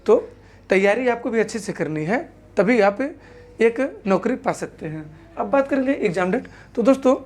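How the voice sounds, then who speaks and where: A woman's voice: mid-range voice, darkish tone. A woman presents an informal explainer to viewers, spelling out her points and repeating them with set phrases. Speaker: exam-news video presenter.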